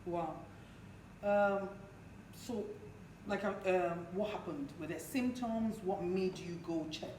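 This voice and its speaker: Echoey noisy room, woman, hoarse, lowish voice, talk show host, conversational interviewing. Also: takes it slowly, a little mellow. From Nigeria. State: hoarse, partly lost voice.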